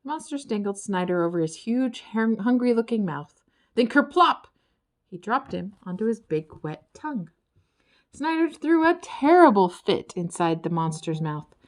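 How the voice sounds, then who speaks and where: A woman narrating a children's storybook in an expressive, dramatic storytelling way. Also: mid-range voice, normal speed. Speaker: audiobook narrator.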